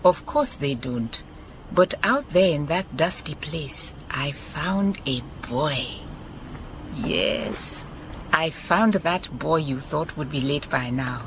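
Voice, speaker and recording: A wise, self-assured lady detective from a radio drama, with a Southern African accent. Her voice is very low and she takes her time over each phrase, warm and emphatic. There is clear background noise with chirping insects. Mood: proud, moved. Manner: unhurried, measured, emphatic repetition.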